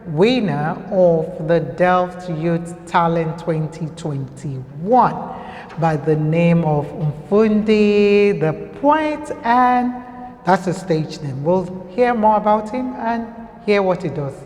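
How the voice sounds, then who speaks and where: A woman's voice: fairly low pitch, slow pace, a little mellow. A woman hosting a talk show in a conversational interviewing style, often repeating answers back, recorded with echoey reverb.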